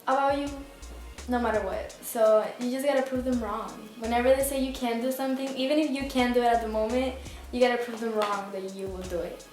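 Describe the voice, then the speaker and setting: A young woman from Spain answers interview questions in a soft, laid-back way, dropping filler words as she goes. Her voice sits fairly high. The room rings with strong echo, and faint music plays underneath. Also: steady tone, brisk. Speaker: singer, interview guest. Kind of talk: casual interview chat.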